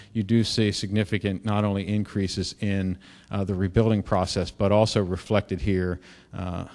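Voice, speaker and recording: A middle-aged man giving a conference keynote, explaining with frequent fillers and restarts, growing louder on key points. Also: on the low side. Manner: halting, many fillers and restarts. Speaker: keynote speaker. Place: lecture hall. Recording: reverberant lecture hall.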